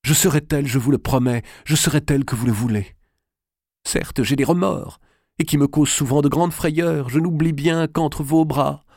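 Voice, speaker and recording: A man reading an audiobook, voicing an anguished, hot-tempered young medieval king with a high voice that turns shrill in anger. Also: normal speed, a little mellow, no echo, clean studio sound. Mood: pleading, tender. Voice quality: high, shrill when angry. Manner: pleading, then bursting into rage.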